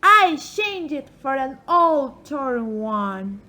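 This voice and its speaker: A woman's voice: high-pitched, very animated, extremely slow, bright, forceful tone, a little hiss or hum, pitched above the performer's own voice, full character voice. A woman voicing a callous old thieving cleaner in a storybook drama, dismissive and matter-of-fact.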